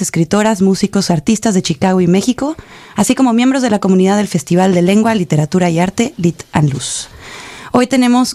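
Female radio host, mellow voice, enthusiastic interviewer. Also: medium pitch.

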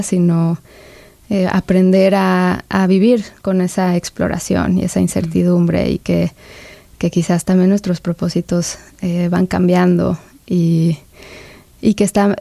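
Interview host, woman, low gravelly voice, flat delivery, dry close sound. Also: no room sound. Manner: measured, hesitant, many restarts. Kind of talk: conversational interview.

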